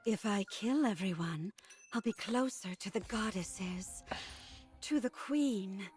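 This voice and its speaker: An actress voicing a fanatical, murderous young female warrior in a video game, with a measured, chanting delivery. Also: medium pitch, lively intonation, a little mellow. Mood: serenely fanatical. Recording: game music behind the voice.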